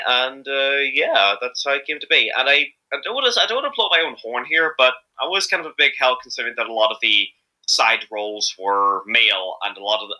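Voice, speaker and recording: A man's voice: mid-range voice, sharp tone, poor audio. A man chatting casually as an interviewed amateur voice actor, rambling and hesitant, often correcting himself as he goes.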